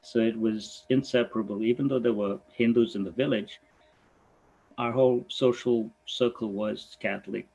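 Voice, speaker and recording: Low-voiced guy in his sixties from goa, thoughtful, stops and restarts a lot, laughs easy. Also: steady tone, creaky, darkish tone, breathy edge, poor audio. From Goa, India. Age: 60s. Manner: thoughtful, halting, laughs easily. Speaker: veterinarian guest. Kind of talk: reflective conversation.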